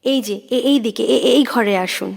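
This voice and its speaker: A woman acting an intense, moody younger sister in a Bengali audio drama, an ardent reader speaking in halting, fervent repetitions. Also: a little high, vocal fry, darkish tone. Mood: eager. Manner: breathless, halting, repeats words with fervour.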